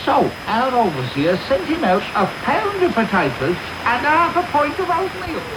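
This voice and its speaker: An actor playing a callous, self-important workhouse beadle with an English accent, talking pompously in a radio period drama. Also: male voice, very high voice, huge pitch swings, moderate pace, exaggerated voice. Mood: scornful relish. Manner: pompous, wordy storytelling. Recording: very noisy medium-wave radio recording.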